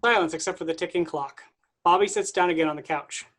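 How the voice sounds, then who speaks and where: A reader giving the stage directions of a play in a plain, even way. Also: medium pitch, quick pace. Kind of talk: reading stage directions aloud.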